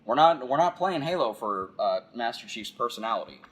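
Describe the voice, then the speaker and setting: A man giving casual, rambling philosophical commentary, halting and repeating phrases as he thinks aloud. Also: medium pitch, animated, rough recording. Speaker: video essayist.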